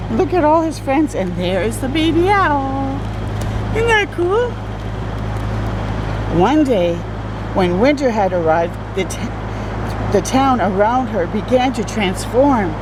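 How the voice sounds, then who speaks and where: A woman reading a story aloud for children, expressive and speaking directly to her young listeners with asides. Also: a little high, noisy background.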